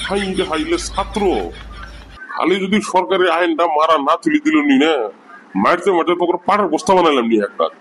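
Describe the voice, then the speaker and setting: A man's voice: fairly high pitch, fairly quick, a bit bright, a little hiss or hum, close to an everyday voice. A man doing an exasperated village teacher. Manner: scolding, exasperated, sighing. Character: exasperated middle-aged male village teacher.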